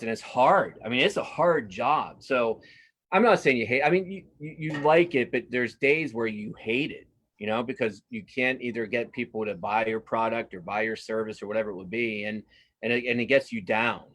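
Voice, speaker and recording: A man's voice: medium pitch, moderate pace, fairly bright tone. A man speaking casually as an interview guest, a cartoonist and writer who often repeats words and restarts his phrases.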